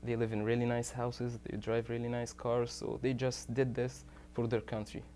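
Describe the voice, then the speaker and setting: Young guy, interview answers, lots of uhs, pretty monotone. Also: mid-range voice, a little hiss or hum. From Egypt. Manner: halting, many "uh" fillers.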